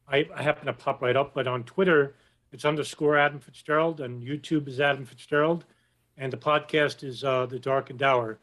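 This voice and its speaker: A man answers as a guest on a podcast, in a voice of ordinary middle pitch. He speaks in a measured, hesitant way, stopping often on "uh" between points. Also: fairly even intonation, fairly bright tone. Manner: measured and hesitant, with frequent "uh" pauses. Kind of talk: casual podcast interview.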